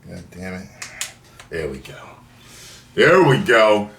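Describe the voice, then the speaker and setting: A man chats casually to viewers in a roomy space with faint noise. His low voice swings up and down in a lively way, playful and full of slangy exclamations. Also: takes it slowly, gravelly, far from the mic. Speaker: video host. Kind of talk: casual unboxing chat.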